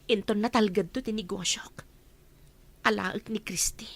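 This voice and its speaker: A woman's voice: mid-range voice, moderate pace, natural voice. An actress playing a determined, scheming mother who longs for her child, in an Ilocano radio drama.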